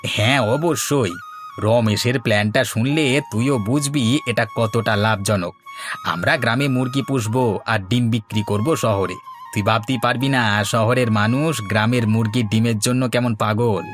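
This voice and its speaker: A man plays a village sidekick in a comic cartoon, full of questions yet quick to get excited. His voice sits at an average pitch, with soft music underneath. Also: natural voice. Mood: hopeful. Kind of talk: comic Bengali cartoon dialogue. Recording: music behind the voice.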